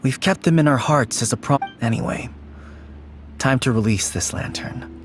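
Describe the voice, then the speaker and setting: A man's voice: medium pitch, normal speed, over music, natural voice. A man playing an earnest, nostalgic grown-up friend in a video game.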